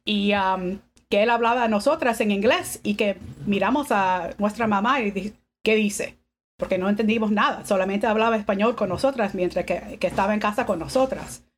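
A woman in her fifties, a podcast guest, telling family stories in a relaxed way and mixing English and Spanish. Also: mid-range voice, normal speed, slight background noise. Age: 50s. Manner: switches between English and Spanish, laughs often. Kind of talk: casual bilingual conversation.

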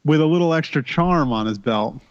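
Playful stammering man, slightly hoarse. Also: fairly high pitch, animated, a little fast, muffled recording. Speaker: player.

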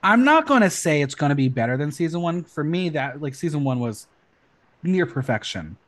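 A man hosting a casual podcast, chatty and excitable, rattling off news with lots of ums. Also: a little high, moderate pace. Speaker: podcast host.

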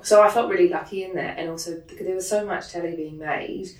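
A woman from New Zealand with a New Zealand accent, talking as a guest in a casual interview. She speaks quickly and animatedly, with lots of "like" and laughter. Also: on the low side, steady tone, darkish tone. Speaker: interview guest, production head. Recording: roomy sound, distant from the mic.

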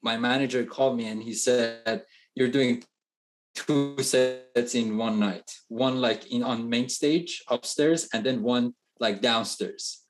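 A man whose first language is Persian, a touring music producer chatting as a guest, halting with many fillers and restarts, playful. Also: medium pitch. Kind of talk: casual interview chat.

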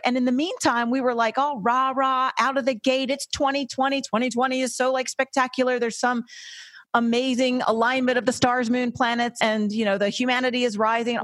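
A woman's voice: high voice, a bit bright, no room sound, clean studio sound. Effusive chatty woman podcast host. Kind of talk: casual interview chat.

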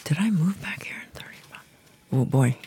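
A middle-aged woman whose first language is Chinese, thinking aloud in a casual conversation with halting restarts. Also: deep voice, animated, dark tone, whispered. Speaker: client receiving a reading.

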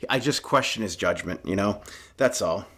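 A man with a loud, booming, low-pitched voice chats on a casual podcast. He gets animated and stumbles through restarts and fillers as he talks. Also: normal speed. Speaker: podcast co-host, union chief steward.

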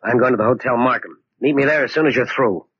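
An actor playing a tough, impatient, wisecracking investigator with a husky voice in a 1940s radio drama. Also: male voice, mid-range voice, fast talker, fairly bright tone, slight background noise, lightly acted. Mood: determined. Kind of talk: 1940s hard-boiled radio drama acting. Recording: dull 1940s radio recording.

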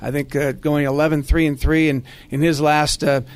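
Man, sports podcast host, slightly high pitch, conversational questioning, dry studio-like sound. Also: flat delivery, normal speed, no echo.

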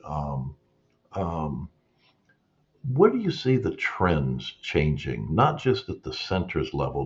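A man's voice: extremely low pitch, darkish tone, muffled recording. A man hosting a podcast interview, asking long rambling questions with fillers. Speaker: podcast host.

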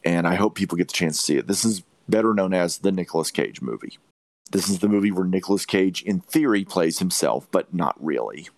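Male podcast film reviewer, low voice, dry sound, emphatic and opinionated, repeats himself for stress. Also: animated, a little fast, creaky, darkish tone, no echo. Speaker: podcast host reviewing films. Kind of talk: opinionated movie review chat.

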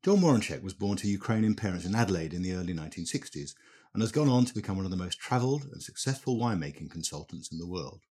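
A man in his sixties hosting a wine podcast, asking brisk, chatty questions. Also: deep voice, animated, mellow, slightly rough. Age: 60s. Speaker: podcast host, interviewer. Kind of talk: podcast interview.

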